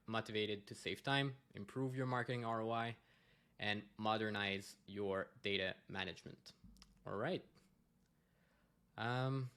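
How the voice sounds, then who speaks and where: A man's voice: mid-range voice, a bit bright, smooth. A man from Quebec, a native French speaker with a Québécois accent, giving a conference presentation and explaining with many fillers and restarts. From Quebec, Canada.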